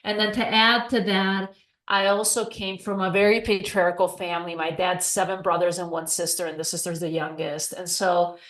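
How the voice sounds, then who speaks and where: A woman's voice: on the low side, flat delivery, moderate pace, fairly bright tone. A middle-aged woman from Spain, a podcast guest telling her story in a chatty way and acting out remembered dialogue. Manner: chatty, acts out quoted dialogue. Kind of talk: casual storytelling interview. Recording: roomy echo.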